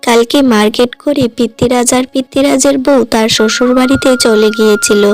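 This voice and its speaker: A woman's voice: fairly high pitch, fairly even intonation, moderate pace, faint music behind. A woman narrating a scripted moral tale for a cartoon, as the storyteller.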